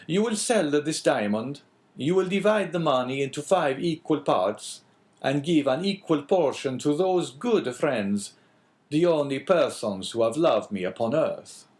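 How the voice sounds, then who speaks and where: A man reading an audiobook voices a calm, earnest priest in a solemn, measured way. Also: on the high side, voice pushed up. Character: calm, earnest middle-aged male priest.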